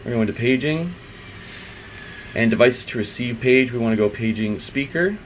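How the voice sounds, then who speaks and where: Male tech tutorial presenter, mid-pitched, informal and unscripted, thinking aloud over a faint room hum. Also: animated, normal speed, rough recording. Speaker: IT installer giving a software tutorial. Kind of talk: unscripted step-by-step screen walkthrough.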